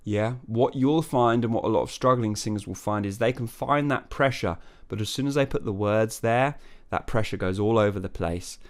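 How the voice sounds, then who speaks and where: A man teaching as a voice coach, talking through technique in a relaxed way, with many fillers and restarts. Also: on the low side, moderate pace, hardly any pauses. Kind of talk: singing lesson with sung falsetto demonstrations.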